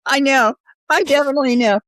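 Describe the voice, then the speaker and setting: A woman's voice: high voice, a little fast, fairly bright tone, no room sound, low-quality recording. A middle-aged woman hosting a podcast, chatting casually with her guest in an effusive, encouraging way. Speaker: podcast host. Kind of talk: casual interview chat.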